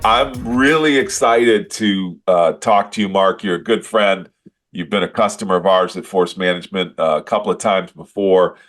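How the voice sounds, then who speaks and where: Deep-voiced rambling podcast host. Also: male voice, moderate pace, a bit bright, faint music behind. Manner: rambling, hesitant questions with fillers. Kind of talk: conversational podcast interview.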